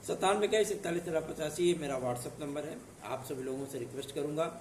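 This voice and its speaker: A hoarse man from northern India gives an earnest, reassuring exam-news update, often checking in with his listeners. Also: a little high, a little mellow, recorded from a distance, a little hiss or hum. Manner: earnest, reassuring, with repeated tag questions. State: hoarse from a sore throat. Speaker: exam news channel host. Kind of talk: casual direct-to-camera update. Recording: echoey room, voice off-mic.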